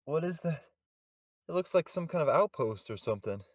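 A high, animated male voice full of jumps and dips. He plays along in a jokey improvised skit, talking quick and excited. It sounds close and dry. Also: dry recording. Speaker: podcast co-host.

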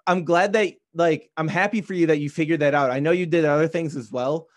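A young American man with a slightly high voice speaks in a casual, conversational way. He rambles a little through his thoughts and leans heavily on the word "like.". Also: fairly quick. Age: early 20s. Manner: rambling, heavy use of "like".

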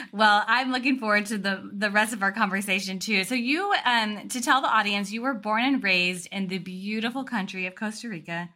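A woman podcast host chatting and interviewing in a casual, animated storytelling manner. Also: medium pitch, moderate pace.

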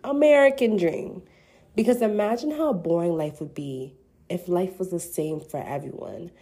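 A woman's voice: on the low side, moderate pace, warm tone, slightly rough. A woman in her twenties hosting a casual solo podcast, playful and slangy, sounding congested from a cold. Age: 20s. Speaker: podcast host.

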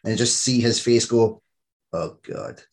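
A man's voice: fairly low pitch, very animated, measured pace, gravelly, breathy edge. A man hosting a podcast in casual, enthusiastic chat, joking and swearing freely. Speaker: podcast host.

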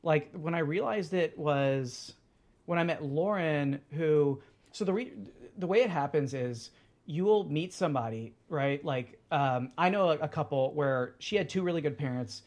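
A man's voice: a little high, expressive, normal speed, darkish tone. A man in his thirties chatting casually as a podcast guest, full of fillers and restarts. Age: 30s. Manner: rambling, many fillers and restarts. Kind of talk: casual chat.

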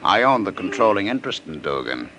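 An actor playing a cocky, evasive big spender in a 1950s radio crime drama. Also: male voice, low voice, sing-song, fast talker, pushed and bright, exaggerated voice. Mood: defensive, boastful. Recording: slightly noisy old radio recording.

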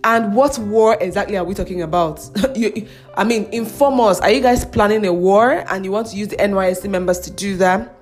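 A woman's voice: medium pitch, a little fast, faint music behind. A young Nigerian woman with a Nigerian accent, hosting a casual podcast and chatting through the news with frequent laughs and mocking asides. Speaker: podcast host.

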